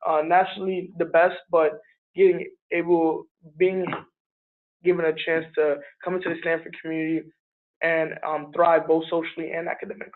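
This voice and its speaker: Higher-pitched, even-toned young man. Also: normal speed, narrow, tinny audio. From born in Liberia, moved to Harlem, New York. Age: around 20. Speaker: student panelist. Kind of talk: casual, unscripted panel answer.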